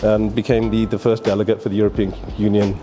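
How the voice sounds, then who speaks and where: This is an older man in his sixties with a lilt and a low voice. He tells his life story as a guest on a talk show in a loose, rambling way and laughs along the way. Soft music plays faintly behind him. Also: flat delivery, fast. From Barbados. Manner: rambling, laughs while telling stories. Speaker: guest, businessman. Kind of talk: casual interview storytelling.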